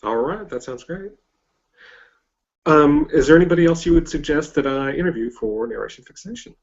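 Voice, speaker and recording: Halting male interviewer on a lo-fi call. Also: fairly high pitch, lively intonation. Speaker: interviewer, host. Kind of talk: casual interview chat. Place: online video hangout. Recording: dull lo-fi online call.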